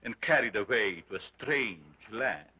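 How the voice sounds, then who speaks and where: A man playing a grandiose carnival showman and self-styled scientist, speaking in a booming, theatrical way. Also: animated, normal speed, heavy background noise, lightly acted. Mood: solemn. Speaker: sideshow doctor presenting an ape.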